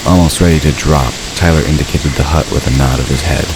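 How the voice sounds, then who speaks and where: Really really deep guy narrating an audio drama story, clear ambient noise behind him. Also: fairly even intonation, quick pace. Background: ambient sound effects.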